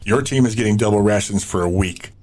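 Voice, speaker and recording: A man playing a cold, confident militia leader in an audio drama, deliberate and commanding. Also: fairly low pitch, darkish tone, a little hiss or hum, lightly acted. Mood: cold satisfaction. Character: cold, confident male militia leader who relishes cruelty.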